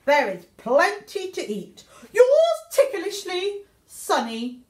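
A woman's voice: extremely high pitch, huge pitch swings, slow, darkish tone. A woman reading a children's picture book aloud in an exaggerated, theatrical way, as a young meerkat writing letters home. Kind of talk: expressive children's story reading. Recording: echoey room.

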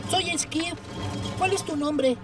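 An actress voicing a cheerful, curious young train-boat in a cartoon dub, speaking brightly and chirpily. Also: female voice, extremely high pitch, takes it slowly, distant mic, music in the background. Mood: chirpy.

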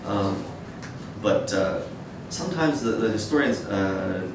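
Male academic, deep voice, measured and hesitant lecture delivery, recorded from afar in a reverberant room. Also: expressive. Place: dim wood-shelved seminar room with audience, indoors. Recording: echoey room, distant mic, noisy rumble.

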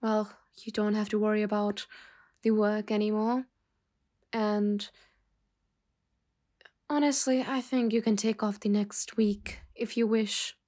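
A woman playing a stern but softening mafia boss in an intimate ASMR roleplay, speaking in measured phrases with pauses, often nearly whispering. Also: medium pitch, level tone, lightly acted. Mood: apologetic, calm.

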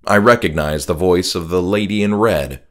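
A man reading a scripted first-person horror story as an audiobook narrator, in a measured, dramatic way. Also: low voice. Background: music.

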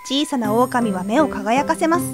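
A woman's voice: high voice, animated, normal speed. A woman narrator reading a scripted fairy tale in a polite, slightly wry storytelling manner. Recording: music behind the voice.

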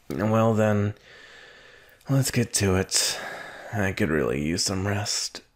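A brash young anime hero, acted out by a man in an intimate ASMR roleplay. His voice sits fairly low and moves slowly. He is gruff, huffy and defensive, halting as he covers up a soft, scared side. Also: lively intonation, full character voice. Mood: softened, sleepy.

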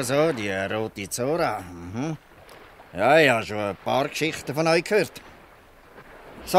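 Radio play casting: old Swiss German fisherman, gruff and blustering, high-pitched, bright and forceful. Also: slight background noise, heavily acted. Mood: sceptical, then softening. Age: older. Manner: blustering, ends taunts with a questioning "hä". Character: gruff old fisherman, scolding, shrewd, softening. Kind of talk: dialect radio play acting.

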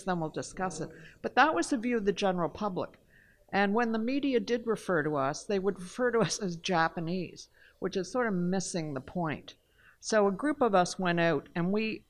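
An older woman from Canada, speaking on a panel in a large hall into a table mic, telling her story earnestly and with emphasis. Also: lowish voice. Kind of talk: panel discussion talk. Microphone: table mic on a stand.

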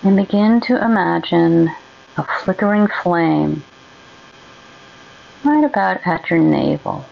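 A woman guides a meditation very slowly, in a gentle voice with a gravelly creak. She leaves long pauses between soothing instructions. The recording is slightly dull and muffled. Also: lowish voice, lively intonation, a little hiss or hum.

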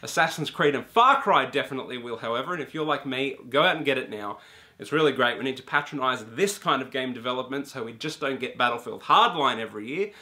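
A young man giving an animated, casual spoken review to camera in a small room, full of ums and casual swearing. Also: mid-range voice, fast talker. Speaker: video game reviewer. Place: small indoor room with a brick wall and shelves.

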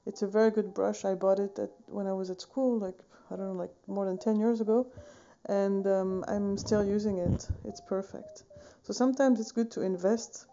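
A woman in her thirties from Switzerland talks through her painting process at home. Her voice is very dark and mellow. She rambles in a relaxed way, full of fillers and self-corrections, recorded on a phone camera at desk distance. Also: medium pitch, moderate pace, hardly any pauses, dull audio, slight background noise. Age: 30s. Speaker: painting vlogger. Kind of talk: casual tutorial chat. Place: home studio room, indoors, wooden shelves.

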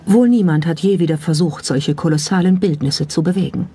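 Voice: mid-range voice, brisk, mellow. A narrator reading a scripted documentary in a pointed, skeptical, rhetorical manner. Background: background music.

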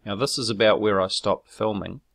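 Low gravelly New man narrating. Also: steady tone, a bit bright. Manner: measured and explanatory, with frequent ums and self-corrections; argumentative when rebutting critics. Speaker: video creator explaining his own footage. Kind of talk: narrated screen walkthrough.

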